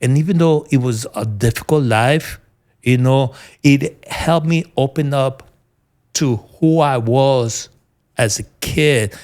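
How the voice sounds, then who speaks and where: A middle-aged man with a New York accent, a military veteran answering interview questions earnestly, often pausing to search for words. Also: mid-range voice, a little mellow. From United States. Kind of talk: conversational interview.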